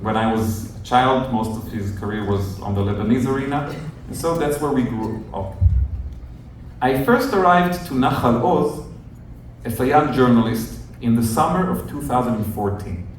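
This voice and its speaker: A young adult man, a journalist telling a group his story in an earnest, measured, explaining way. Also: mid-range voice. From northern Israel. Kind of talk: storytelling talk to visitors. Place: outdoors at a kibbutz near the Gaza border. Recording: very echoey, distant outdoor recording with faint noise.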